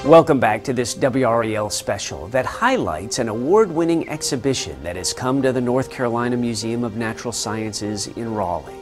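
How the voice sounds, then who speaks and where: A male news narrator delivers scripted lines in a polished, lively way, his pitch moving a lot. Music plays clearly beneath the narration. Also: moderate pace, few pauses. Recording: music bed underneath.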